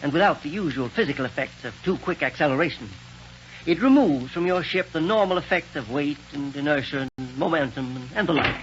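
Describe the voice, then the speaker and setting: An actor playing an amiable older inventor in a vintage radio serial, stammering in an avuncular, fussy way. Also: male voice, a little high, lively intonation, a little fast, natural voice. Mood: earnest, proud. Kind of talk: 1940s radio serial acting. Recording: muffled, hissy vintage radio recording.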